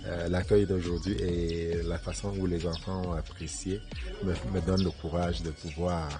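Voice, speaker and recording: Low-voiced man, halting interview. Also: little pitch movement, music in the background. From Cameroon. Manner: halting, many fillers and restarts. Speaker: diaspora donor interviewed. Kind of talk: casual interview.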